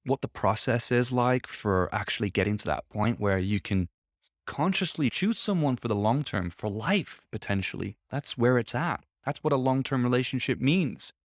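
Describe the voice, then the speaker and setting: A man's voice: mid-range voice, lively intonation, normal speed, no room sound, studio quality. A man in his thirties hosting a solo podcast from his office, talking in a reflective, conversational way, a little congested from a sore throat. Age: 30s. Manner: conversational, reflective, self-coaching tone. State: slightly congested, throat a bit off. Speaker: podcast host.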